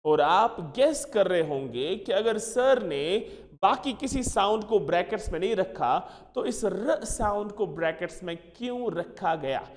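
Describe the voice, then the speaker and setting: Brief male teacher, high lively voice, reverberant room, drills words slowly. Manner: switches between English and Hindi, repeats words slowly for drilling. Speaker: English teacher. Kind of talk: classroom pronunciation lesson.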